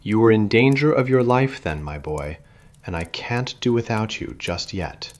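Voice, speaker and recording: A man playing a commanding, brisk great detective, protective and genial, in an audiobook. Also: fairly low pitch, expressive, close to an everyday voice. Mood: stern, protective. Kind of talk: dramatic audiobook character reading.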